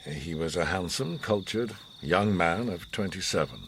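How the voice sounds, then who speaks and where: An actor playing an older, worldly and enigmatic mastermind in a radio drama, speaking in a theatrical, measured, formal and philosophical way with a husky voice. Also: male voice, sits low, lively intonation, a bit bright, a little hiss or hum, heavily acted. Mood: grave, reminiscing. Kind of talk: radio drama acting, storytelling monologue.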